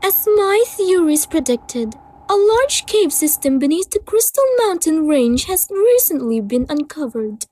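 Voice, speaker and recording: A woman's voice: sits high, sing-song, a little slow, few pauses, darkish tone, rough recording. A woman voicing a learned, self-assured cartoon pony professor, formal and lecturing, in a comic dub.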